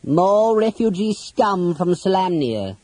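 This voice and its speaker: High, blustering goblin officer. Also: male voice, sing-song, darkish tone, no echo, heavily acted. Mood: sneering contempt. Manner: blustering, sneering, drawn-out commands. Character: pompous leering goblin officer, blustering and menacing.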